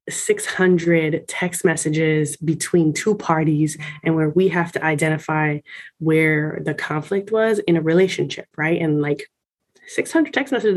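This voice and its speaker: Emphatic woman with a slightly low voice. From Miami, United States. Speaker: senior college administrator being interviewed. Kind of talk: conversational interview, explaining from personal experience.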